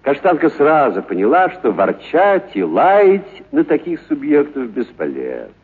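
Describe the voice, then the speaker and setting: A man's voice: fairly high pitch, huge pitch swings, measured pace, slightly rough, narrow, tinny audio. A man narrating a literary story for radio expressively and theatrically, lingering on certain words.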